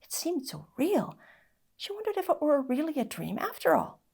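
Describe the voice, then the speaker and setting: Expressive woman storyteller with lively pitch. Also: moderate pace, gravelly. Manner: dramatic, expressive. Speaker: story reader. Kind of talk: expressive children's story narration.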